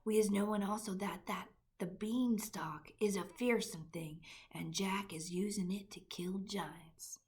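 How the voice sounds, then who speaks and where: A woman voicing a big friendly male giant who whispers in hushed tones with mangled grammar. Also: medium pitch, steady tone, a little slow, hardly any pauses, close to an everyday voice.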